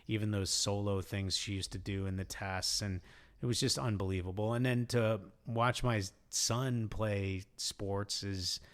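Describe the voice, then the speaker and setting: A man's voice: lowish voice, fairly even intonation, a little slow. A middle-aged man co-hosting a podcast in a casual, rambling, conversational way. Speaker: podcast co-host. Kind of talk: casual chat.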